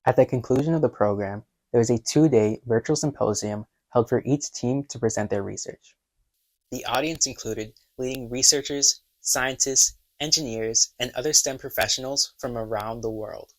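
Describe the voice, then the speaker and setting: A man's voice: medium pitch, moderate pace, poor audio. A teenage young man from Miami, Florida, reading a scripted introduction as a student intern. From Miami, Florida, United States. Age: teens. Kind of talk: scripted narration.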